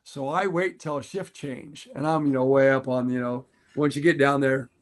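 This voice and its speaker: Older man, raspy, earnest, animated storyteller, casual podcast chat. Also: medium pitch, normal speed. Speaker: podcast co-host.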